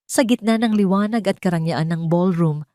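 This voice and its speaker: A woman with a slightly low voice narrates a scripted first-person drama. Her delivery is emotive and deliberate. The sound is dry, with very little room in it. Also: dark tone, no echo. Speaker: audio story narrator.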